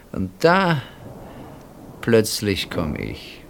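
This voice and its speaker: Deep-voiced, brooding middle-aged husband. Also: male voice, creaky, a little hiss or hum, heavily acted. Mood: brooding, intense. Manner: hesitant pauses, deliberate reflective phrasing. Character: middle-aged husband Pierre, brooding, casual, wryly reflective. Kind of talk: radio play acting, intimate dialogue.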